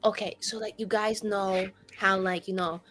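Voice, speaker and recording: A woman chatting in character as a player in a tabletop roleplaying game, animated, exclamatory and prone to swearing. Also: mid-range voice, normal speed. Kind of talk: improvised casual roleplay chat.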